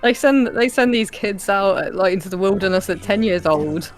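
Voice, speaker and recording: A woman's voice: medium pitch, moderate pace, faint music behind. A woman co-hosting a movie reaction, adding casual remarks in conversation. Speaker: movie reaction show co-host.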